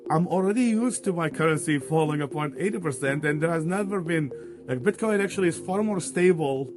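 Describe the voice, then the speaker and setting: A man's voice: fairly high pitch, expressive, a little fast, over music. A man from Iraq in his thirties, a podcast guest answering questions over a video call in an earnest, thoughtful way, with many fillers and restarts. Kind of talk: conversational interview answers. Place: remote call.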